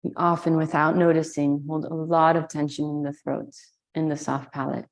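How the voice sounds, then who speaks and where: A woman leading a guided meditation, giving slow, soothing instructions with long pauses. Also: low voice, flat delivery. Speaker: meditation and yoga teacher.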